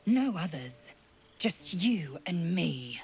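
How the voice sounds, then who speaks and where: A woman's voice: lowish voice, big pitch swings, takes it slowly, a little mellow, full character voice. An actress playing a proud, fierce alien female warrior in a dramatic video game, blunt and contemptuous, with a haughty, clipped, formal way of speaking. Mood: menacing.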